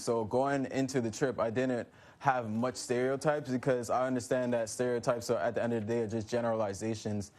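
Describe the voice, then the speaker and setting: A young man from New York answering interview questions in a relaxed, casual way, with frequent restarts. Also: mid-range voice. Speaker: guest.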